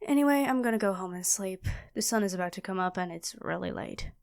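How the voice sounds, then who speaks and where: A woman playing a nerdy, chatty young student in an audio roleplay, expressive and flustered, often apologizing and correcting herself. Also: mid-range voice, fast, creaky. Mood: apologetic, insistent. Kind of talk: audio roleplay acting addressed to the listener.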